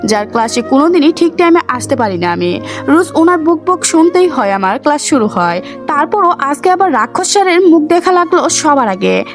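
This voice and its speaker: A woman tells a dramatized first-person story in a high voice that swings freely up and down. Soft music runs behind her narration.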